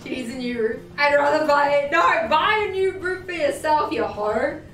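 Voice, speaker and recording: Animated Australian woman livestreaming from afar. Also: high-pitched, moderate pace. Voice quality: animated, laughing, self-mocking. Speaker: livestream host. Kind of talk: casual livestream chat. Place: home sewing room. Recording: roomy, distant, faint music behind.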